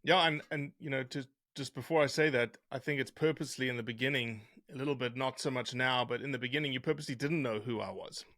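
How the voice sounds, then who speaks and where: A middle-aged man with a softened South African accent talks as an interview guest, telling stories in a conversational way. His mid-pitched voice sits in a very dry space with little room sound. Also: fast talker, few pauses, no room sound. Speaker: interview guest, conservation scientist. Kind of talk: conversational storytelling.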